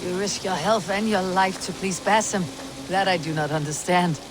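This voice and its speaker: An actress playing a proud, blunt Viking warrior leader in a video game, dry, wry and commanding. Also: female voice, on the low side, normal speed, noisy background, close to an everyday voice. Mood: concerned. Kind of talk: dramatic video game acting.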